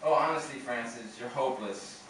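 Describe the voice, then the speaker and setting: A man's voice: mid-range voice, normal speed, natural voice. A man playing an exasperated, bossy traveller in an amateur radio play. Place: open indoor studio tour space, group around stand mics. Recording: very echoey room, distant microphone, faint noise.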